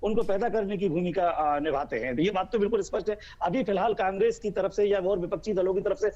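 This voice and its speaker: A man's voice: high-pitched, fast talker, darkish tone. A man reporting live as a news bureau chief, speaking off the cuff in an emphatic, wordy, repetitive way. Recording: dull, muffled live link audio.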